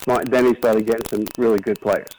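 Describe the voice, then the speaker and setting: A man's voice: lowish voice, fairly even intonation, fairly quick, a little mellow. An older American man reminiscing in a casual, rambling and earnest way as an interview guest over the telephone. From New York City, United States. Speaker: retired basketball coach, interview guest. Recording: noisy phone interview with clicks.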